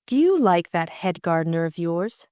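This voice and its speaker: A woman's voice: fairly low pitch, sing-song, moderate pace, mellow, dry recording, studio quality. A woman voicing a meek, wistful upper-class married lady in an audiobook drama, with a plaintive manner. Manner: sighing, plaintive.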